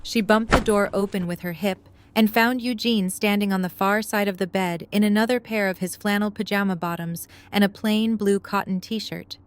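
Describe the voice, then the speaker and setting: A woman's voice: mid-range voice, fairly even intonation, normal speed, hardly any pauses, slight background noise. A woman reading scripted third-person story narration as the narrator of an audio drama.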